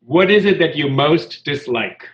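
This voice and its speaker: A man's voice: a little high, expressive, measured pace, roomy sound, highs cut off. A man interviewer who asks questions and adds enthusiastic asides. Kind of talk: conversational interview.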